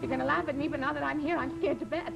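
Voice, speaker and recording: A woman acting in an old-time radio drama, playing an anxious, excitable and affectionate young wife with an emotional, pleading manner. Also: high-pitched, racing, vocal fry. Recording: vintage radio recording with faint music.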